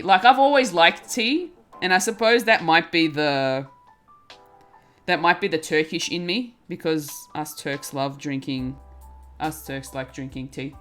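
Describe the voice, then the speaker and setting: A woman's voice: lowish voice, fairly bright tone. A young woman streaming while she crafts, chatting casually and giggling, often restarting her words as she talks to her chat. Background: faint lo-fi music.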